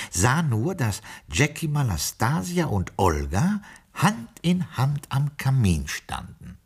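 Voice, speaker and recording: Posh-mannered castle retainer telling a radio farce, low voice, very animated melody, dry and formal. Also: male voice, slightly rough, exaggerated voice. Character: urbane male castle retainer narrating a ghost farce. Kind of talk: scripted narration and radio play acting.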